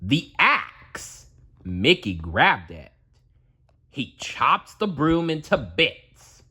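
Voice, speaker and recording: A man narrating a children's storybook aloud in a dramatic, deliberate storytelling manner. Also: mid-range voice, huge pitch swings, pushed and bright, husky.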